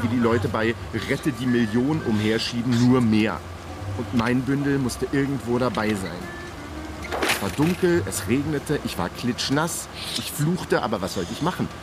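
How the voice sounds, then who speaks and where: A man's voice: mid-range voice, natural voice. An actor playing a hapless, wry male investigator who tells his own story in a radio crime drama. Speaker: actor playing the lead and narrator. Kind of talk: radio crime drama, first-person narration.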